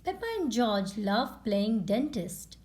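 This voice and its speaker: A woman reading a children's picture book aloud in an expressive storytelling style. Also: on the high side, takes it slowly, a little hiss or hum. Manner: expressive, acts out character lines. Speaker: storytime reader and channel host. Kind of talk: children's read-aloud narration.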